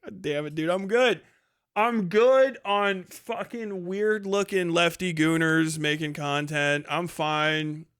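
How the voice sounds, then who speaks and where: Male livestream host, high smooth voice, casual reactive commentary, profane and incredulous. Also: slow, fairly bright tone. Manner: heavily profane, exasperated, incredulous.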